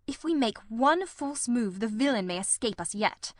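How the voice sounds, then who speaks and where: A performer voicing a shrewd, grimly determined male detective in a radio mystery, speaking formally and deliberately. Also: extremely high pitch, animated, no echo, studio quality. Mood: grimly resolute. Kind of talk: old-time radio mystery drama.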